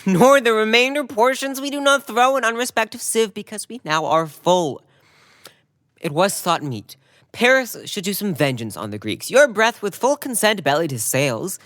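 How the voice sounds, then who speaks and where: A woman's voice: medium pitch, expressive, normal speed, fairly bright tone, no echo, clean studio sound, natural voice. A woman playing a proud, scornful young prince in a Shakespearean audio drama, impassioned and rhetorical. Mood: impassioned argument.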